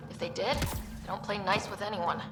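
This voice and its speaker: A woman's voice: medium pitch, normal speed, music in the background, natural voice. A woman playing a young, level-headed recon specialist in a video game, speaking in a dry, casual way. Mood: curious. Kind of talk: naturalistic video game dialogue acting. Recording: game audio over loud ambient noise.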